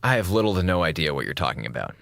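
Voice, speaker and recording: An actor playing a wisecracking, insubordinate American communications officer on a space station in a radio drama, with a glib, theatrical manner. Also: male voice, sits low, sing-song, racing, vocal fry, fairly bright tone, no room sound, heavily acted. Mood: defensive, sheepish. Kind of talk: radio drama log narration and comic dialogue.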